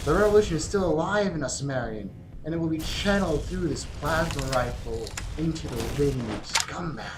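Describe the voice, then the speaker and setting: A man's voice: fairly high pitch, expressive, brisk, hardly any pauses, background noise. A man acting as a hard-bitten, resolute revolutionary general in an audio drama, urgent and commanding. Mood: urgent, defiant.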